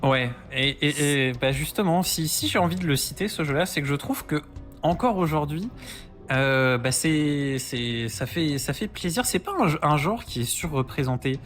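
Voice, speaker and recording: A man's voice: fairly high pitch, lively intonation, moderate pace, a bit bright. A man chatting casually as a podcast guest, explaining retro games with keen interest. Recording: music playing behind the voice.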